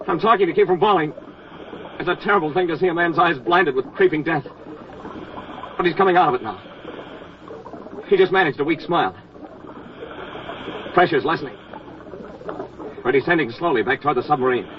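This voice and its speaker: An actor playing a brave navy diver giving a terse, urgent running report in a 1940s radio drama. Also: male voice, fairly high pitch, fairly quick, pauses often, lightly acted. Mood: grave, urgent. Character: brave male navy diver Moran reporting tense undersea rescue. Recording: noisy, dull vintage 1940s radio recording.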